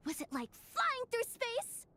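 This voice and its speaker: A woman's voice: very high voice, measured pace, no room sound, heavily acted. A woman voicing a tiny, childlike, bubbly female companion in a video game, exclamatory and dramatic. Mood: amazed, curious. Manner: exclamatory, expressive, easily amazed.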